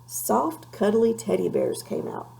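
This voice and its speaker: A woman reading a children's story aloud in an expressive, measured way, with a creaky voice. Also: medium pitch, poor audio. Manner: measured, animated read-aloud delivery. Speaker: storybook reader.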